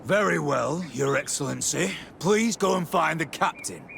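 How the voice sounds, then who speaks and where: A man acting an officious, suspicious camp gate guard with a gravelly voice in a video game. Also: a little high, expressive, moderate pace, a bit bright, a little hiss or hum, heavily acted. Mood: grudging, deferential. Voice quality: gravelly, creaky.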